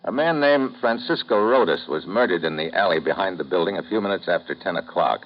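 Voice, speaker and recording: A very deep hard-boiled American agent. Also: male voice, lively intonation, a little fast. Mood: terse. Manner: clipped, dry, hard-boiled. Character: tough American agent narrating his own case, middle-aged man. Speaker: lead and narrator. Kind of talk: 1950s radio drama acting. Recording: dull old radio broadcast with music.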